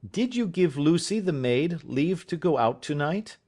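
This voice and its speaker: A man's voice: a little high, expressive, unhurried, a little mellow, voice pushed up. Man reading as a worried young woman. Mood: concerned. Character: anxious young woman, dutiful niece.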